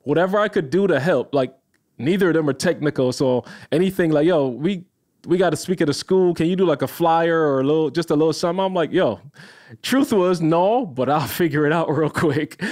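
A man from Barbados, a podcast guest in his thirties, telling the story of his career in a lively, casual way. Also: on the high side, fairly quick. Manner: animated, addresses listeners as y'all, laughs often.

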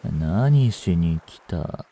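A man playing a young delinquent in a voice drama, listless and blunt, with rough slangy talk and a caring streak. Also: low-pitched, lively intonation, drawn-out words, mellow, full character voice. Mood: weary, teasing menace. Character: gruff, languid young male delinquent, secretly caring. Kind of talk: Japanese voice drama for female listeners.

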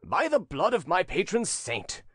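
A storybook narrator voicing a huge, irritable man who blusters, threatens and grumbles loudly. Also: medium pitch, big pitch swings, normal speed, dry recording, clean studio sound, pitched above the performer's own voice. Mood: angry, threatening. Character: blustering giant man, irritable crowd bully.

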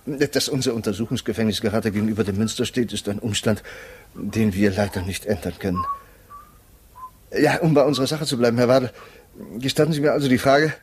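Fussy, husky-voiced defence lawyer. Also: male voice, mid-range voice. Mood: officious, placating. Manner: formal, courteous, hesitant, placating. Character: fussy, polite, officious male defence lawyer. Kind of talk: 1950s German radio play acting.

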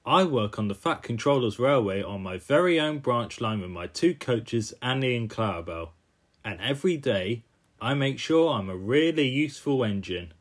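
A man's voice: medium pitch, expressive, moderate pace, close to an everyday voice. A young cartoon tank engine, voiced by a man, keen and cheerful. He talks in an earnest, friendly way.

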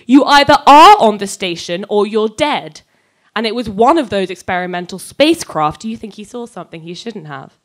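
A young adult woman giving a live, scripted dramatic reading of fiction on stage into a microphone, moving between measured narration and conversational dialogue. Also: mid-range voice, lively intonation. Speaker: reader of a short story. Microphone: handheld-type microphone on a stand, close.

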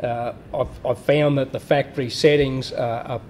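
A man's voice: mid-range voice, steady tone, moderate pace, darkish tone, slight background noise. A man in a workshop explaining a welding machine step by step, plain-spoken with fillers and restarts. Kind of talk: hands-on technical explanation.

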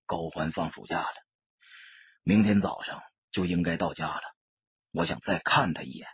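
A man voicing a remorseful young ex-soldier turned gangster in an audiobook, speaking in a subdued, halting, resolute manner. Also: deep voice, slightly rough, no echo, highs cut off, pitched below the performer's own voice, exaggerated voice. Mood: resigned, resolute. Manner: hushed, heavy, halting. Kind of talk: audiobook character acting.

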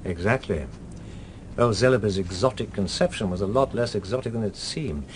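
A man's voice: lowish voice, moderate pace, a little hiss or hum, natural voice. An actor with a British accent playing a wry, knowing man in a radio drama, speaking in a clipped, dry way. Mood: explanatory. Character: wry, knowing man briefing an old acquaintance.

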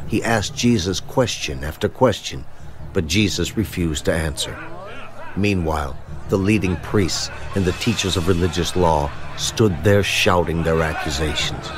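A man reading scripted scripture narration for a dramatized production, in a measured storytelling manner. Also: low voice. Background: music.

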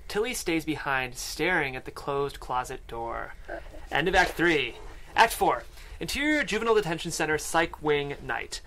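Mid-pitched screenplay narrator. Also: big pitch swings, normal speed, fairly bright tone, slight background noise. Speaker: stage-direction reader at a table read. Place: podcast studio with several people. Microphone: studio microphone.

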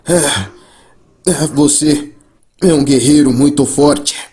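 Man voicing a high-pitched earnest warrior hero. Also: takes it slowly, a little mellow. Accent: Brazilian. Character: earnest, brave adult male martial-arts warrior hero. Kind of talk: anime fan dub acting. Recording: music behind the voice.

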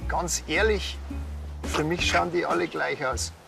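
A dry, grumpy Bavarian policeman in a TV comedy. He talks curtly in a gravelly voice over clear background music. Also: on the high side, a little slow. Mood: deadpan, curt. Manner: dry, curt. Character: grumpy, dry, exasperated male small-town policeman. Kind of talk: TV crime comedy acting.

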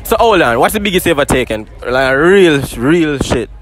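A man's voice: on the high side, normal speed. Teasing young Jamaican man, street interviewer. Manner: teasing, animated, exclaims in patois. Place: outdoor car show parking lot. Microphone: handheld mic. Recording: faint outdoor noise.

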